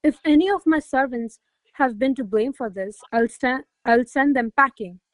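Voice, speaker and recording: A woman's voice: sits high, moderate pace, dry recording, natural voice. A woman playing an imperious, hot-tempered noblewoman scolding her servants in a theatrical radio play. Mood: scornful, commanding. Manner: haughty, commanding, theatrical exclamations. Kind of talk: radio play acting of a classic comedy.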